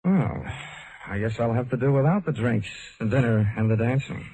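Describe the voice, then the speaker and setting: Actor as wry American radio detective. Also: male voice, medium pitch, normal speed, noisy background, close to an everyday voice. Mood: dry, world-weary. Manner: wisecracking, dry first-person narration. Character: wry, hard-boiled male insurance investigator. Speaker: lead detective and narrator. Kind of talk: old-time radio detective drama acting. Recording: vintage radio recording, music behind.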